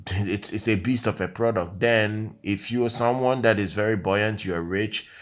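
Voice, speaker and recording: A man giving a casual, unscripted product review, talking in hyperbole and repeating himself with many filler phrases. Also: low voice. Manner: repetitive, hyperbolic, frequent filler phrases like "literally" and "you know". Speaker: tech review channel host.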